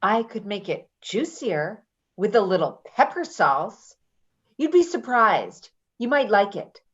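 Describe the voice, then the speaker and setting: Casting: actress for brash, talkative female caregiver, highly varied intonation, naturalistic play-reading delivery, prickly and defensive; muffled lo-fi recording. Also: very animated, fairly bright tone, husky, full character voice. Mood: irritated, needling. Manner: rambling, halting, with nervous laughter. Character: brash, chatty, defensive woman caregiver named Patti. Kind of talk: naturalistic readers theater acting.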